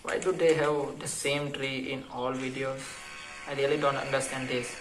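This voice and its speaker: A man's voice: medium pitch, flat delivery, normal speed, low-quality recording. A man from India, Gujarati by birth, chatting casually and hesitantly as he reacts to a video. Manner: chatty, hesitant with fillers, self-deprecating. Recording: music playing loudly behind. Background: video music.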